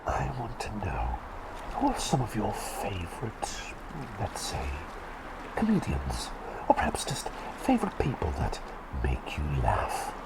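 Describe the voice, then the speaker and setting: A man voicing a kindly, forgetful doctor turned talking bird in a gentle ASMR roleplay, breathy and half-whispered, often hesitating and repeating his phrases. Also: on the low side, huge pitch swings, measured pace, very noisy, full character voice. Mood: gentle, curious. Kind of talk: ASMR roleplay with personal attention.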